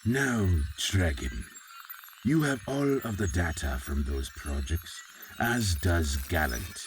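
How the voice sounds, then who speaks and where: An actor playing an imperious, scheming villain overlord in an audio drama, condescending and deliberate. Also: male voice, low voice, very animated, full character voice. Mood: smug, commanding. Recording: clearly noisy recording.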